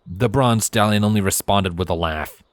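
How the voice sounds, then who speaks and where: Low-voiced man narrating a story. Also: normal speed, no room sound, studio quality. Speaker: fan fiction narrator. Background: background music.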